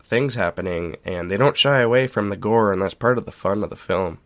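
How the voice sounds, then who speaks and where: Low-voiced man chatting candidly. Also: fairly quick, fairly bright tone. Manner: informal, candid, mildly profane. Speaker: podcast co-host. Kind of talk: casual film review chat.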